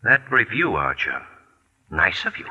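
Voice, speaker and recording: A man playing an indignant, vengeful playwright and columnist in 1940s radio drama, arguing in a sharp, articulate way. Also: fairly low pitch, huge pitch swings, unhurried, muffled recording, heavily acted. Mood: bitter. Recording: 1940s radio broadcast, husky harsh sound.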